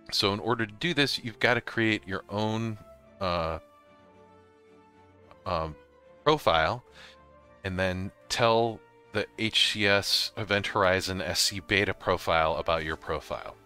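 A man narrating a casual gaming software tutorial, explaining things informally step by step. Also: sits low, unhurried, pauses often, soft background music. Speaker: host of a gaming tutorial series. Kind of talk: casual software tutorial narration over slides and screen capture.

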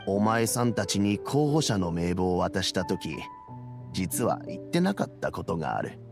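An actor playing an aging, reflective elder statesman in a Japanese game, with gravelly, measured, old-fashioned speech. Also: deep voice, big pitch swings, music in the background. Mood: calm, explanatory. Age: older.

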